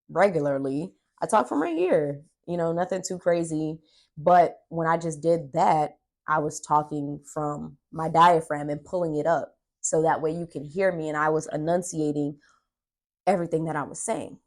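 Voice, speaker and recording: Low-pitched young woman telling stories casually. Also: moderate pace. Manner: animated, dramatic, acts out dialogue and emphasizes words. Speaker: podcast host and aspiring actress. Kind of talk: casual storytelling vlog chat.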